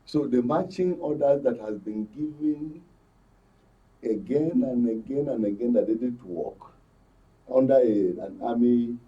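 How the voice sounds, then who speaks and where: A slightly muffled recording, picked up away from the mic, carries a Nigerian man's voice. He comments on current affairs as a panel guest. His delivery is emphatic and halting, and he repeats words to make his point. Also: mid-range voice. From Benue, Nigeria. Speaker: studio guest, journalist commentator. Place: broadcast studio panel. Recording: distant mic, dull muffled audio.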